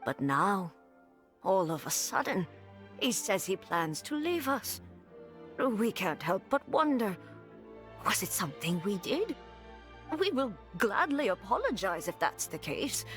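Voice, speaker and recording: Anxious village woman for a game: sincere, pleading, medium pitch. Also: sing-song, slow, a bit bright, faint music behind. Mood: worried, earnest. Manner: earnest, concerned. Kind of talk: video game voice acting.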